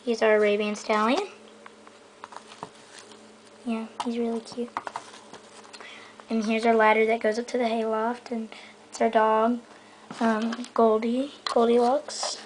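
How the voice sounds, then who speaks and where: A woman's voice: a little high, flat delivery, unhurried, long pauses, background noise. Chatty young girl touring her toy stable. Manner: rambling, lots of um and so yeah. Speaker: vlogger giving a toy stable tour. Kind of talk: casual show-and-tell. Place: indoor bedroom floor. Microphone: handheld camera mic.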